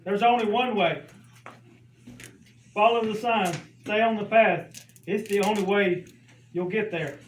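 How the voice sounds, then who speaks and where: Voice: medium pitch, a little slow, pauses often, lightly acted. A performer voicing a sensible, patient puppet who questions and guides a friend in a children's church show. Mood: instructive. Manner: instructive, questioning. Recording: roomy, distant mic, faint background noise.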